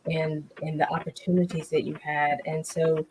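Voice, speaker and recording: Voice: mid-range voice, little pitch movement, normal speed. An interview host responding with affirming comments, often repeating filler phrases. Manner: warm affirming, repeats "you know". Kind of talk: casual interview. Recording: noisy recording.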